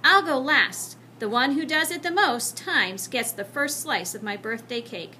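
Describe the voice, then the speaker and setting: A woman's voice: high-pitched, lively intonation, unhurried, bright, forceful tone, a little hiss or hum, exaggerated voice. A woman voicing a haughty, dismissive young schoolgirl in a children's storybook, bossy and scornful.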